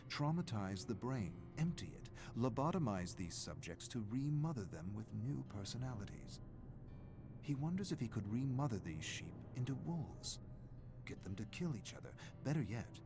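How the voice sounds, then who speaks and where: A man playing a brooding, menacing narrator who tells a dark horror game story in a deliberate, dramatic way. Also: mid-range voice, big pitch swings. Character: brooding, menacing male narrator of a sadistic doctor's thoughts. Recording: music playing behind the voice.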